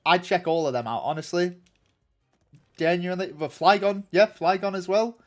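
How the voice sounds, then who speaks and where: A man's voice: sits high, moderate pace, soft background music. Nasal man chatting on stream. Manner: rambling and chatty, many ums and restarts. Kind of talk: casual livestream chat answering viewers.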